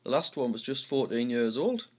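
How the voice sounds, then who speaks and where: A man's voice: medium pitch, very animated, moderate pace, slightly rough. A man with a Houghton-le-Spring pitmatic accent from North East England, reading play dialogue as an amateur. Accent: Houghton-le-Spring pitmatic, North East English. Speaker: amateur script reader. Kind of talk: dramatic dialogue read-through.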